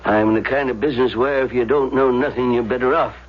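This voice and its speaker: Man acting a tight-lipped motel manager, husky, hesitant, street grammar, radio drama, dull vintage sound. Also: medium pitch, fairly even intonation, a little fast, natural voice. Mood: puzzled, hesitant. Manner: ungrammatical street talk, hesitant and evasive.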